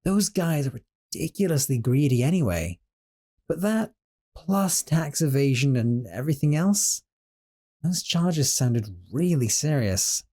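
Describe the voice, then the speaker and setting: A man playing a gentle, stammering sorcerer roommate. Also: fairly high pitch, animated, a little slow. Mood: guilty confession. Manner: hesitant, stammering, soothing. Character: young male sorcerer roommate, gentle, nervous. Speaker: audio roleplay performer. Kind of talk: scripted audio roleplay.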